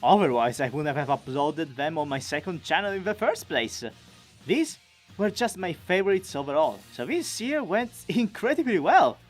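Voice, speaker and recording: An enthusiastic man narrates a chatty recap in the style of an online creator. His pitch moves a great deal and his delivery is expressive. Soft music plays underneath. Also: normal speed. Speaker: video creator and amateur voice actor.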